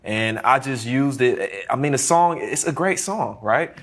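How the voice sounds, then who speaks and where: A man speaking as a court defendant, justifying himself in a halting, defensive way and repeating his words. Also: mid-range voice, animated, fairly bright tone. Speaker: defendant in a televised court case; musician.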